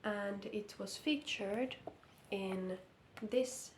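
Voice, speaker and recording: A woman from Finland with a Finnish accent, speaking English, sounding croaky from being sick as she talks through a knitting update slowly and carefully. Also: mid-range voice, roomy sound, slight background noise. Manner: slow, careful, hesitant. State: hoarse from illness. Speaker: knitting vlogger. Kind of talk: casual vlog update. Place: indoor room under lamp light.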